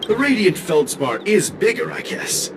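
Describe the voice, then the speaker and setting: A man's voice: high voice, big pitch swings, measured pace, music in the background. A man playing an eager, friendly young tourist merchant in an anime-style video game. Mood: friendly, curious.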